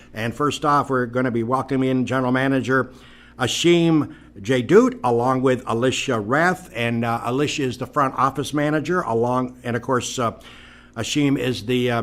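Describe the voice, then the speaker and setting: A middle-aged man hosts a local talk show at a middle pitch. He rambles in a chatty way and leans on frequent fillers. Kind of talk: casual talk show hosting.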